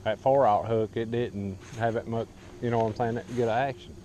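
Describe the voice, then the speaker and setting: A man's voice: medium pitch, fairly even intonation, brisk, dark tone, dull audio. A man with a Southern US accent chatting casually and in a folksy way out on a boat. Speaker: angler vlogging. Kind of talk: casual chat. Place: outdoors on a small boat on a lake. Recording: clear outdoor ambient noise.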